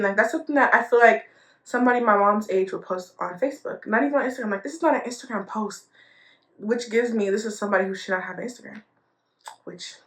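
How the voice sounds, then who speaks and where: Young woman in her 20s doing a storytime vlog, chatty, kinda high voice, echoey room. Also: a bit bright. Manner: chatty, laughs often, filler-heavy. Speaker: storytime vlogger.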